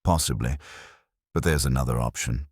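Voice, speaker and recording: A man voicing a courteous, thoughtful English police detective who speaks in a measured, probing way in a mystery story. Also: a bass voice, slightly rough, no room sound. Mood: deliberate, thoughtful. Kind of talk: dramatised mystery audiobook.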